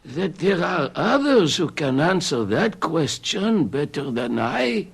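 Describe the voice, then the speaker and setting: A sly, fawning French shopkeeper. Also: male voice, fairly high pitch, sing-song, slow pace, vocal fry, breathy edge, full character voice. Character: obsequious French shopkeeper, older man. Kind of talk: 1970s radio drama acting.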